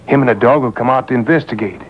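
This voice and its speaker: A man playing a scheming, self-assured outlaw in a vintage radio serial. His voice sits at an average pitch. The recording is dull and slightly noisy, taped from the radio. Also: fast talker, lightly acted. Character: confident, scheming male outlaw robber. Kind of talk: old-time radio drama acting. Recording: muffled, noisy radio broadcast taped on boombox.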